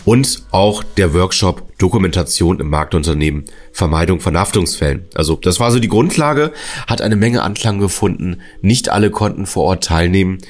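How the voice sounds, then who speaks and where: A podcast host with a very deep voice. He talks in a chatty, friendly way and jokes with his guest. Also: normal speed. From northern Germany. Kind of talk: casual podcast hosting.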